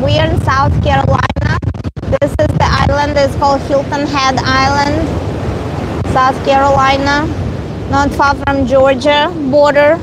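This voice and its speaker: A woman whose first language is Russian, chatting slowly on a live stream from a beach and answering viewer comments. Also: high voice, level tone, over loud music, low-quality recording. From Eastern Europe, Russian family. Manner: slow, rambling, reads and answers viewer comments. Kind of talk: casual live stream chat. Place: outdoors on a windy beach. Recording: loud wind and surf noise. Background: ocean waves and wind.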